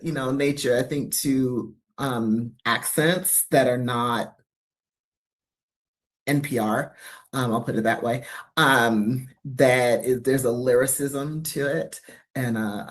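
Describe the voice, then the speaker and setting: A woman from New York City speaking on a university panel, halting and reflective with many fillers. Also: very deep voice. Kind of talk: panel talk at a university event.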